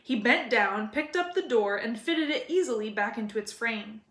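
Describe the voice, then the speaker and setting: A woman's voice: on the high side, expressive, normal speed, a bit bright. A woman narrating a children's story as a read-aloud. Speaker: reader of a children's novel. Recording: roomy echo.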